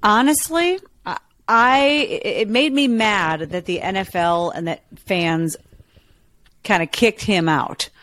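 A woman with a low voice co-hosting a podcast, telling stories in a lively, chatty way. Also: slow pace. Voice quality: lower voice. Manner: chatty, animated storytelling. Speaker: podcast co-host. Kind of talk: casual chat.

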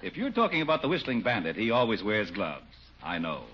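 An actor playing a sly, folksy con man posing as a detective in a 1940s radio comedy, with a familiar, unhurried manner. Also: lowish voice, sing-song, husky. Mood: sly, genial. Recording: muffled 1940s radio recording.

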